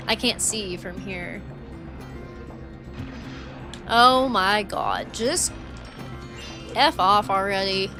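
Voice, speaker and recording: Female game streamer, loose chatty delivery, slightly dried-out voice, game music underneath. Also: mid-range voice. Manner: chatty, rambling, frequent "like" and "um". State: voice getting dry and worn from long talking. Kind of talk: casual livestream chat while playing.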